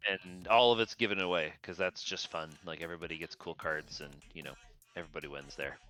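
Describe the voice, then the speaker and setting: A man co-hosting a casual card game stream, chatting in an easygoing way that trails off quietly. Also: sits low, fairly even intonation, fast, rough recording. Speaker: stream co-host. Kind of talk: casual chat and game commentary. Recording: music in the background.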